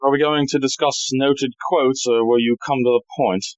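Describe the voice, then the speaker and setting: Man playing a wry, guarded thief, medium pitch. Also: fairly even intonation, moderate pace, dull audio, natural voice. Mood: wry, defensive. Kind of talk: stage-like audio drama acting.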